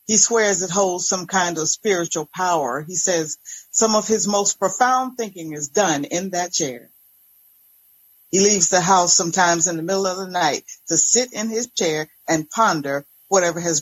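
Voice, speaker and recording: A woman's voice: fairly low pitch, normal speed, slight background noise, lightly acted, rough recording. A woman performing a dramatic story reading as a wary, exasperated pastor's wife telling her own story. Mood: reflective. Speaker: dramatic reader.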